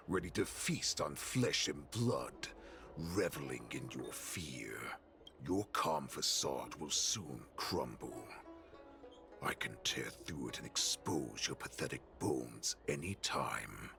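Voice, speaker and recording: A man playing a cruel, imperious wolf warlord in a video game, slow and taunting in his menace. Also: rumbling low, lively intonation, sharp tone, faint music behind, full character voice. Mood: menacing. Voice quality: gravelly. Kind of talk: dramatic video game voice acting.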